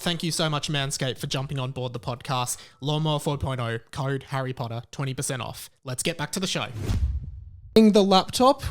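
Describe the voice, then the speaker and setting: A man with a notably high voice talks like a casual podcast host. His delivery is frank and informal, and he likes to hammer a point by repeating it. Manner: informal, frank, emphatic repetition. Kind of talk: casual podcast talk and chatty ad read.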